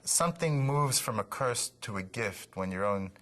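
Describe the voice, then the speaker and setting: A man's voice: medium pitch, animated, moderate pace, fairly bright tone. A man in his fifties who stutters, with repeated words and blocks, talking about himself in an interview. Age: 50s. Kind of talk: personal interview.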